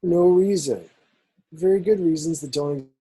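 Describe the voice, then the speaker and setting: A man at an amateur table read playing a breezy, easygoing college guy with a husky voice. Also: on the high side, unhurried, mellow, low-quality recording. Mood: hastily evasive.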